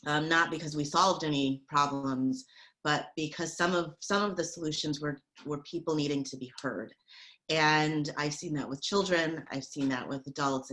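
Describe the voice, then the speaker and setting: A woman speaking as a panelist in a hesitant way, with many pauses, restarts and fillers. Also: sits low, a bit bright. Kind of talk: reflective panel talk.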